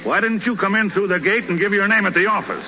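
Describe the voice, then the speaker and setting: An actor playing a gruff, wisecracking baseball team captain who barks at his players in a 1930s radio comedy. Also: sits high, fast talker, pushed and bright. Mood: dry sarcasm. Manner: wisecracking, barking, slangy. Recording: muffled, noisy 1930s radio broadcast recording.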